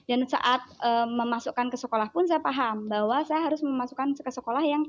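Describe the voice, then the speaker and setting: A woman with a Javanese accent tells a story in a relaxed interview chat, and she slips into her child's voice as she talks. Her voice sits a bit high. The recording sounds dull and lo-fi. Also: a little fast. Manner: animated storyteller who acts out her child's words. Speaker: child psychologist guest.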